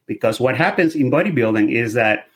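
A man's voice: medium pitch, animated, brisk, fairly bright tone, slightly rough. A middle-aged man from Venezuela with a Venezuelan accent, explaining things in a casual interview, with loose run-on sentences and restarts. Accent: Venezuelan Spanish. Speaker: guest, lab testing business owner.

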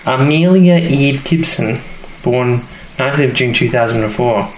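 A man's voice: mid-range voice, unhurried. A man co-hosting a podcast, chatting casually about a TV series. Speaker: podcast host. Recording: echoey room, faint background noise.

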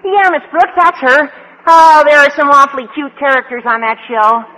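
Squeaky earnest teen boy, old radio comedy, voice jumps around, echoey hall. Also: moderate pace, pushed and bright. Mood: eager. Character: eager, squeaky teenage schoolboy. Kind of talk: 1940s radio comedy acting. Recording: echoey vintage radio, studio audience.

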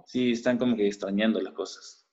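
A Peruvian man, a musician guest in a casual interview, speaking in a measured, slightly hesitant way with a Peruvian Spanish accent. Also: fairly low pitch, steady tone, a little mellow, muffled recording. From Peru.

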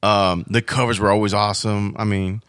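A man chatting casually as a guest, slangy and informal, recalling things haltingly. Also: fairly low pitch, little pitch movement, fairly bright tone, smooth, no echo, studio quality. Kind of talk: casual chat, reminiscing.

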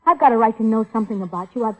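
A woman's voice: a little high, animated, rapid-fire, narrow, tinny audio. An actress playing a skeptical, fed-up girlfriend, pointed and questioning, in 1940s radio crime drama style. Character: skeptical, fed-up sweetheart of a small-time schemer, female.

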